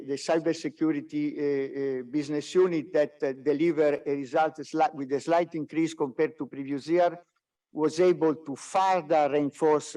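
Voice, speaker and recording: Non-native english guy presenting company results, kinda high voice, lots of hesitating, sounds far from the mic. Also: darkish tone. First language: not English. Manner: halting, frequent hesitations and repeated words. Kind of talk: business presentation on a conference call. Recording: distant mic, conference call audio.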